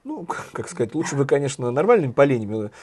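A man with a hoarse voice co-hosting a satirical news chat, talking in a sardonic, ironic way. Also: medium pitch, big pitch swings, fast, darkish tone. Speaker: co-host, journalist.

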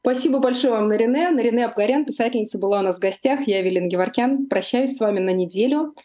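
A woman hosting an online interview, measured and polite, asking her guest questions and thanking viewers. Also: a little high, dark tone. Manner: measured, polite, with brief affirmations. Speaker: interview host.

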